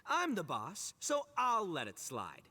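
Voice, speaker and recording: A man's voice: high voice, wildly swooping pitch, takes it slowly, pushed and bright, breathy edge, heavily acted. A man playing a brash, boastful young gang boss in an anime game, cocky, jovial and swaggering. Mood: cocky, teasing. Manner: swaggering, boastful, laughs easily. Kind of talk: anime video game voice acting.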